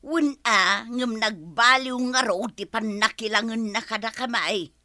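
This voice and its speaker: An actress playing a gossipy, giggly village wife in a radio comedy drama. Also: female voice, a little high, a little slow, bright, forceful tone, dry recording, studio quality.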